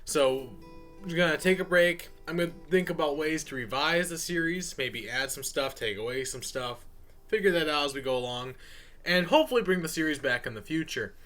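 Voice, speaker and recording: A man's voice: a little high, brisk, fairly bright tone, faint music behind. A man giving a casual, unscripted update as a channel host, talking informally in a folksy way and addressing viewers as y'all.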